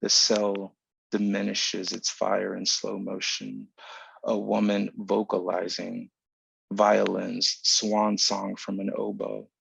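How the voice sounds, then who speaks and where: A man giving a literary reading of a poetic essay, measured and deliberate in formal phrasing, over a video call. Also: fairly low pitch, completely flat delivery, gravelly, a bit bright, slightly rough, no echo. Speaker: writer reading own work. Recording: dull lo-fi online call audio.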